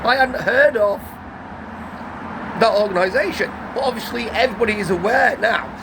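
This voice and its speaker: A man, croaky from a cold, telling a rambling, guarded story to his vlog audience, full of restarts. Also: high-pitched, normal speed. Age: 50s. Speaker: vlogger. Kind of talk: casual story to camera. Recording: outdoor traffic noise behind.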